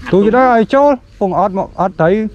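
Male angler, casual outdoor chat, animated intonation, clear field noise behind. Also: high voice, fairly quick, muffled recording. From Cambodia. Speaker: angler in a fishing vlog. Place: open grassy field and trees, outdoors. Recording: noisy outdoor field recording.

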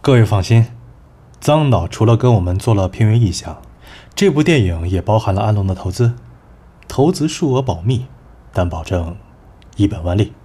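A man playing a cool, assured agency executive in an audio drama, measured and persuasive. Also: fairly low pitch, animated, mellow, husky. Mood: confident, persuasive. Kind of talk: Chinese audio drama acting.

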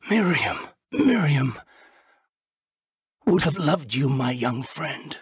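A man playing a kindly, earnest elderly British wizard professor in a video game, with measured, refined, formal phrasing and a weathered voice. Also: fairly high pitch, sing-song, slightly rough, no room sound, clean studio sound, full character voice. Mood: grave, reflective. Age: older. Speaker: game character voice actor. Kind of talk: video game dialogue acting.

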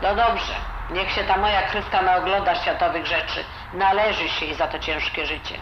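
A woman's voice: on the low side, fairly even intonation, moderate pace, lightly acted. A woman playing a blunt, disapproving old grandmother with a Silesian accent and grumbling, dialect-filled talk in an audio drama. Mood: grudging. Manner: grumbling, colloquial, full of dialect words. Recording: roomy, dull, noisy home recording.

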